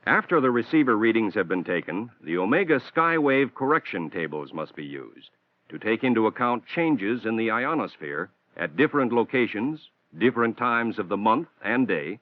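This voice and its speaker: An American man reading a scripted instructional narration for a training film in a measured, formal, explanatory manner, on a 1960s film soundtrack. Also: on the low side, sing-song, no room sound. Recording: dull, band-limited 1960s film soundtrack.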